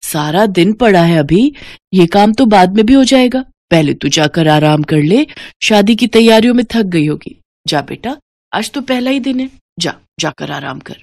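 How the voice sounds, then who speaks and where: A woman's voice: medium pitch, lively intonation, moderate pace, no room sound, natural voice. Woman voicing a kindly, coaxing mother-in-law, dry sound. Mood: soothing. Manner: gentle, coaxing. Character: kindly, protective middle-aged mother-in-law. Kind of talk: Hindi cartoon story voice acting.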